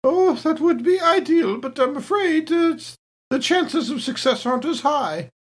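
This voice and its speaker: A woman playing a polite, evasive female saboteur in a space horror roleplaying game, genteel and gently understated. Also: high-pitched, lively intonation, normal speed, pitched above the performer's own voice. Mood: apologetic.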